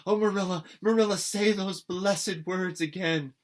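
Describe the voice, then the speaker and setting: Man playing a young orphan girl, storybook character, raised pitch, gushing, earnest, dramatic, pleading. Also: steady tone, measured pace. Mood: overjoyed.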